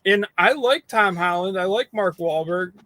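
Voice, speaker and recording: A man's voice: high voice, moderate pace, bright, forceful tone, no room sound. A man co-hosting a podcast, giving his movie opinions in informal, casual chat. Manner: informal, says "dude" and "man". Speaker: podcast co-host.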